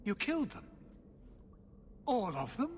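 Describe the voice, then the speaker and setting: A man's voice: very high voice, big pitch swings, unhurried, a little hiss or hum, heavily acted. A man playing a harried, anxious nobleman ruler in a video game, formal and dramatic in manner. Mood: aghast. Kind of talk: scripted video game acting. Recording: long hall reverb, faint music.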